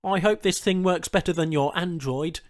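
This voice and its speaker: Man acting an anxious captive, voice pitched up. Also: normal speed, no echo, studio quality, close to an everyday voice. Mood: incredulous. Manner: protesting, questioning, wryly incredulous. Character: anxious, indignant human captive man bargaining with his captors. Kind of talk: audiobook character dialogue, science fiction.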